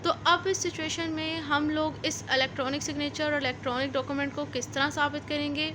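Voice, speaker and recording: A woman with a high voice and a Pakistani accent teaches in an explanatory lecture style. She repeats and rephrases her points informally for learners. Clear background noise sits behind her voice. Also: fairly even intonation. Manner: reads formal text slowly, then explains informally with repetition. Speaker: law lecturer.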